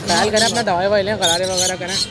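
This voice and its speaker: Vlogger guy, high voice, friendly polite questions at a bird market, loud noise behind. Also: fairly even intonation, rapid-fire. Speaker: vlogger host. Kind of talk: market vlog interviewing. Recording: noisy outdoor market.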